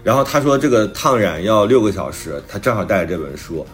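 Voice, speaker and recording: A man's voice: on the low side, big pitch swings, fairly quick, soft background music. A man talking casually and reflectively on a podcast as host and author, with some playful teasing. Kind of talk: casual chat about own new book.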